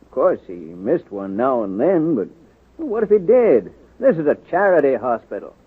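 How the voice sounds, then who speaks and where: An actor playing a gruff, callous hospital official in a 1940s radio drama style. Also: male voice, mid-range voice, big pitch swings, moderate pace, over loud music, close to an everyday voice. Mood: scornful. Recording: tinny old radio recording.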